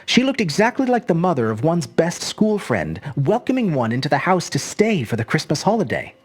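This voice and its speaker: Husky, dramatically swooping storyteller. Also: medium pitch. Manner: expressive, measured, dramatic. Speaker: storyteller, host. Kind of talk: scripted narration of a short story.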